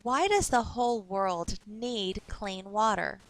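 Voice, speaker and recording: A woman teacher reading a story aloud for children in a clear, instructive way. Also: mid-range voice, animated, takes it slowly.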